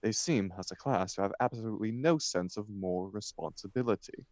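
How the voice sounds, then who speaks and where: A man's voice: on the low side, a little fast, a little mellow, dry recording, natural voice. A man reading the part of a flippant, idle upper-class bachelor in a stage comedy, with an arch, mock-serious declamatory manner. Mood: breezy, arch.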